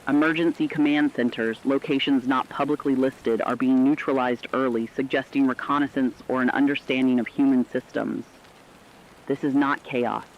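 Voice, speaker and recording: Man acting as somber radio field correspondent, mid-pitched, deliberate ominous delivery, muffled lo-fi radio drama sound. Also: a little hiss or hum, lightly acted. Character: somber radio correspondent reporting eyewitness horror. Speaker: news correspondent. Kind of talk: scripted radio news report.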